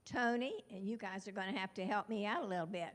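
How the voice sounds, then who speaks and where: A woman's voice: medium pitch, quick pace. Giggly older woman introducing residents. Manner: warm, giggly, scattered, self-deprecating. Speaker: retiring neighborhood representative. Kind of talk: introducing new residents at a meeting. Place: chapel hall.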